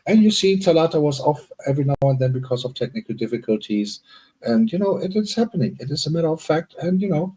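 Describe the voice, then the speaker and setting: A man's voice: on the high side, expressive, fast, warm tone, breathy edge, low-quality recording. A man co-hosting a livestream, chatting in a rambling, friendly way with guests. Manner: rambling, friendly, rising emphasis. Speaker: livestream co-host. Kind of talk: casual livestream chat.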